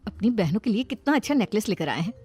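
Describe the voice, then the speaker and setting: A woman's voice: medium pitch, big pitch swings, fast, darkish tone, breathy edge. A woman playing a jealous, spiteful young sister-in-law who plots in a cartoon moral story. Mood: jealous, scheming. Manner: spiteful, plotting.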